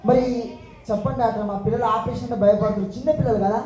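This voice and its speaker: A woman's voice: on the high side, fairly even intonation, rapid-fire, dark tone. A woman hosting a puppet show for children, exclaiming and asking questions, often repeating things for them. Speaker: puppet show host at children's Sunday school. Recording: echoey room, clearly noisy background.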